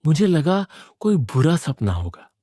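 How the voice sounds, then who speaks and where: A man narrating a scripted first-person horror story in a suspenseful, deliberate manner. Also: fairly high pitch, sing-song, warm tone, no echo, studio quality.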